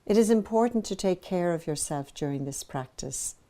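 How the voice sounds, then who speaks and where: A woman meditation teacher narrating a guided practice in a gentle, measured, soothing manner, pausing between phrases. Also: on the low side, lively intonation.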